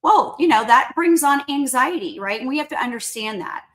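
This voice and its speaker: A woman guest speaking as a company chief in a conversational interview, earnest and explanatory, often checking in with a quick tag question. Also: high-pitched, expressive. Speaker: company CEO, podcast guest.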